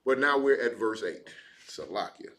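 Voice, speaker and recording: Low-voiced man teaching the Bible casually. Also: lively intonation, normal speed, fairly bright tone. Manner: casual, explaining with fillers like "you know what I mean".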